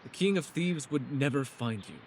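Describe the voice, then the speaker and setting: Man playing a guarded, halting ancient elf recluse. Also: medium pitch, animated, a little hiss or hum, natural voice. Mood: concerned, confused. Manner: halting, stammering, earnest. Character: reclusive centuries-old male elf, guarded, awkward, self-doubting. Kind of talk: fantasy ASMR audio roleplay.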